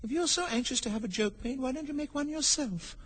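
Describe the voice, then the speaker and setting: Man playing a young girl, high-pitched, airy, polite, impatient, storybook. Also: brisk, a bit bright, breathy edge, heavily acted.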